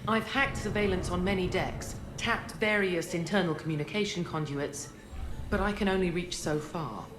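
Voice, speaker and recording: A woman plays a businesslike tech officer in a video game. Her lowish voice is polite and matter-of-fact, in a very echoey room. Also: normal speed, background noise, close to an everyday voice. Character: businesslike female technician at a command center. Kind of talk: cinematic video game acting.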